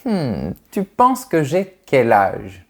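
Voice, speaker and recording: A man in his thirties from France, a French teacher answering viewers' questions in a clear, carefully articulated, friendly way. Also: mid-range voice, huge pitch swings, very slow. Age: 30s. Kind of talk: slow, clear video Q&A for learners.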